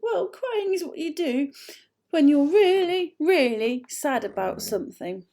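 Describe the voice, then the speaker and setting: A woman's voice: high voice, moderate pace, vocal fry, dark tone, voice pushed up. A woman voicing a brave young girl who speaks earnestly and firmly. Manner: earnest, firm.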